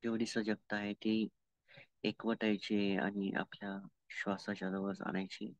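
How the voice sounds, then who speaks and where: Meditation guide: Indian Marathi man, lowish voice, very flat intonation, unhurried and calm, giving gentle step-by-step instructions. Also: no echo. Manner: calm, unhurried, with long pauses and repeated instructions. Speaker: meditation teacher. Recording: dull lo-fi audio.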